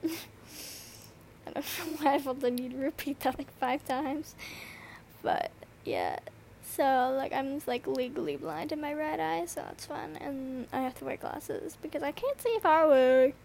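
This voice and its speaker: Teen girl, slight lisp, high voice, rambling and sleepy; earbud mic in a noisy bedroom with a faint hiss. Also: animated, measured pace, vocal fry, darkish tone. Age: teens. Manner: rambling, swears often, lots of fillers. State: tired from lack of sleep. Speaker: podcast host. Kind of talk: casual solo podcast chat. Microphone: earbud microphone.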